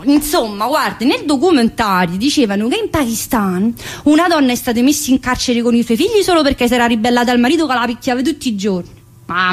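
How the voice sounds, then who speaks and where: A woman's voice: a little high, close to an everyday voice. An actress playing a chatty, sardonic working-class woman prisoner, in a stage monologue. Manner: chatty, teasing, swings between joking and bitterness. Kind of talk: theatre monologue broadcast on radio.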